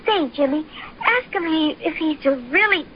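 A woman's voice: very high-pitched, lively intonation, normal speed. A sweet, wide-eyed little girl. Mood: wondering. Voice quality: gushing, wondering. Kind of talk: 1930s radio drama acting. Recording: dull old radio transcription with faint hiss.

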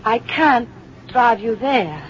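A woman's voice: fairly high pitch, animated, takes it slowly. A woman acting in an old-time radio drama as a sardonic, plucky American schoolteacher, theatrical and wry in her delivery. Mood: wary, deliberate. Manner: theatrical, wry, talking to herself. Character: sardonic, plucky American schoolteacher driving alone, shaken but defiant. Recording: dull, noisy old radio recording.

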